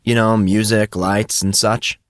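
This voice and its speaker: A brash teenage schoolboy from an audiobook, acted out by a man, slangy and teasing. The voice sits fairly low and is closely recorded and dry. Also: level tone, a little slow, dry recording, lightly acted. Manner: slangy, says "bro" often.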